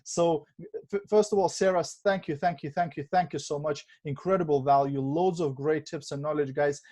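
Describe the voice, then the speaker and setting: A man from Lithuania hosting a video call training, talking in a relaxed, conversational way with many fillers. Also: a little high, normal speed. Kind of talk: casual coaching on a video call.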